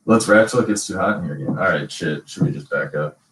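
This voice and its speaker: A man's voice: low-pitched, quick pace, fairly bright tone, recorded from a distance, slight background noise. A young man chatting casually and playfully with a friend about rapping, laid-back and profane. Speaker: rapper. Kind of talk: casual chat and hype-man ad-libs. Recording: heard from off the mic.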